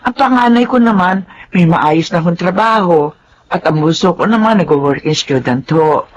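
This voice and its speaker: An actress playing a humble, loyal middle-aged former housemaid in a radio drama, polite and deferential. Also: female voice, on the low side, animated, moderate pace, lo-fi sound, a little hiss or hum, natural voice.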